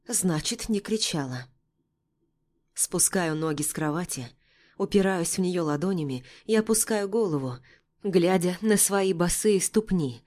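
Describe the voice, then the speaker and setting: A woman reads scripted fiction in the first person as an audiobook narrator. Her voice sits a little low for a woman. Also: darkish tone.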